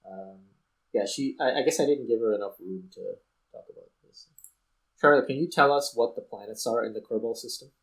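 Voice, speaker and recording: A man's voice: mid-range voice, brisk, slightly rough, rough recording. A man giving casual let's play commentary as a gaming host, rambling and thinking aloud as he goes.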